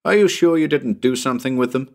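A man's voice: fairly low pitch, lively intonation, normal speed, close to an everyday voice. A man voicing a firm, impatient, sarcastic man minding a child in a storybook.

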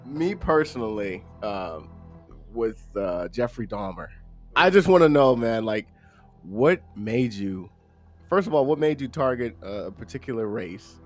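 A man's voice: mid-range voice, expressive, unhurried, faint music behind. A man in his thirties co-hosting a casual podcast, laid-back and slangy, turning emphatic when he gets worked up. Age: 30s. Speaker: podcast co-host. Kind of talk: casual live podcast chat.

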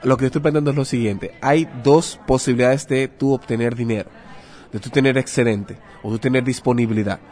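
A man's voice: mid-range voice, steady tone, brisk, darkish tone, soft background music. Didactic Dominican man explaining patiently. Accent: Dominican Spanish. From Dominican Republic. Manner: didactic, uses rhetorical questions and examples. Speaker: guest, personal finance adviser. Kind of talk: interview, explaining advice.

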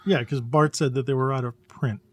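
A man in a casual podcast conversation, talking in a halting way as he searches for his words. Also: medium pitch, steady tone, a little hiss or hum. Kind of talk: casual conversational podcast chat about photography.

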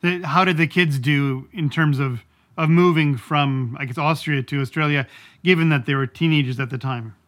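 A man's voice: on the high side, normal speed, a bit bright. A man interviewing a guest, asking brief, encouraging questions in a casual tone. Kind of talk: conversational interview.

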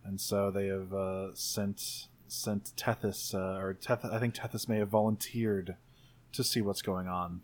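A man's voice: deep voice, little pitch movement, unhurried. Playful man improvising a tabletop game. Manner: playful, joking. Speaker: tabletop game player and podcaster. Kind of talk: casual improvised tabletop role-play chat.

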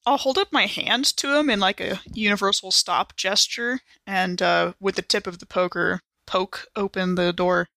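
A woman plays a tabletop roleplaying game, talking casually through her character's choices. Her voice is bright and forward at a middling pitch. The sound is very dry. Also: steady tone, normal speed, gravelly, few pauses, no room sound.

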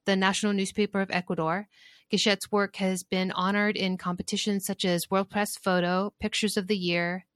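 A woman's voice: medium pitch, a bit bright, no echo, clean studio sound. A woman hosting a podcast, reading a guest introduction and then chatting casually. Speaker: podcast host.

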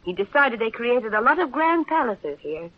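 An actress playing a guilt-torn, softening newspaperwoman in a 1930s radio drama, on a muffled vintage recording. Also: a little high, very animated, normal speed. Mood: troubled, earnest. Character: conflicted newspaperwoman, guilty and softening, secretly fond.

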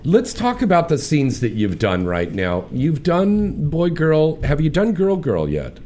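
A man's voice: on the high side, wildly swooping pitch, measured pace, warm tone, a little hiss or hum. A man hosting a radio talk show, brash, crude and joking with his guests. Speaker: radio host. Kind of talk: casual chat.

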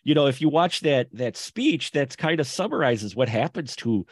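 A man's voice: medium pitch, very animated, normal speed, husky, no echo. A man talking casually as a panelist on a podcast, conversational and often checking in with the listeners.

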